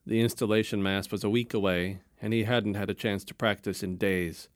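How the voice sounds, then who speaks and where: A man narrating a story in a calm, measured storytelling manner. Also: low voice, no room sound, studio quality.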